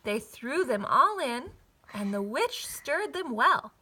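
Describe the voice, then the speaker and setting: A woman narrating a children's story expressively, with a deliberate, rhythmic rhyming delivery. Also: high voice, very animated, sharp tone, slightly rough. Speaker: storybook reader.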